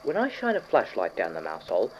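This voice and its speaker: A man playing an eager, obsessive scientist recording precise, fussy investigation notes for an audio drama on degraded cassette. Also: lowish voice. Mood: methodical, intrigued. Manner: fussy, precise, excitable, with trailing pauses. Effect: degraded old cassette tape. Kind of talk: audio drama found-tape monologue. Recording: muffled, noisy old cassette tape.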